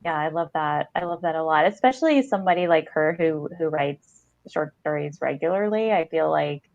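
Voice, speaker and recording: A woman in middle age co-hosts a podcast with an effusive, conversational manner. Her voice sits fairly low, captured close and dry on a slightly muffled video call. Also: lively intonation, moderate pace, creaky, darkish tone, dry recording. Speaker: podcast co-host. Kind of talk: casual literary discussion. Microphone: headset on a video call.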